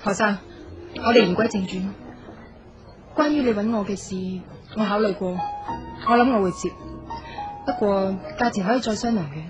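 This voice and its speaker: A woman's voice: medium pitch, moderate pace, long pauses, close to an everyday voice. A woman with a Hong Kong Cantonese accent acting a proud, curt young designer in a radio drama. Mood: cool, businesslike. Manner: curt, aloof. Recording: dull audio with music underneath.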